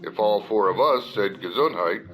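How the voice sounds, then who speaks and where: A man's voice: on the low side, animated, normal speed, soft background music, close to an everyday voice, rough recording. A man playing a suspicious, officious school official with a demanding, inquisitive manner in a 1950s-style radio comedy.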